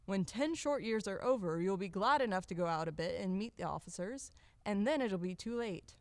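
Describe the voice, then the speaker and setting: An actress playing a fussy, devoted old household servant in an audio play, coaxing and deferential. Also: female voice, mid-range voice, expressive, moderate pace, no echo, natural voice. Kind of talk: audio play acting of a classic stage comedy.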